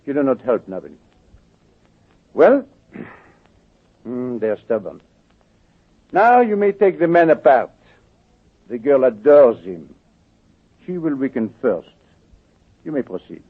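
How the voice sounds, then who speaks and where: Slick french crook from an old radio show, oily polite, voice swoops around, tinny sound. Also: male voice, medium pitch, sing-song, slow, long pauses, husky, full character voice. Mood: menacing. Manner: oily, mock-polite, hesitant formal phrasing. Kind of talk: 1940s radio drama acting.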